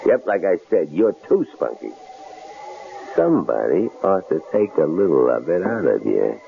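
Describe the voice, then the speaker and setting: A very low, gravelly voice for a murderous drifter in a 1970s radio thriller. He is domineering and leering, swinging widely in pitch as he taunts and orders people about, heard on a noisy, muffled old broadcast. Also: huge pitch swings, normal speed, exaggerated voice. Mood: needling, menacing. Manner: taunting, commanding, drawling folksy slang. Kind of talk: 1970s radio drama acting.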